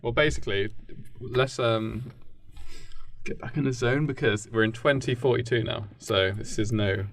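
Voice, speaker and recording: Creaky-voiced young Englishman telling stories. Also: medium pitch. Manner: halting, fragmented delivery with repeated phrases. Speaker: podcast co-host and storyteller. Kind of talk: improvised comic storytelling, casual chat.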